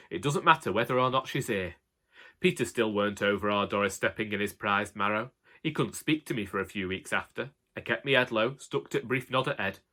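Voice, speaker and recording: A man voicing a wry, long-suffering elderly husband with a Northern English accent in a colloquial comic audiobook monologue. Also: mid-range voice. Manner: colloquial, wry, deadpan grumbling. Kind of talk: first-person comic monologue, audiobook narration.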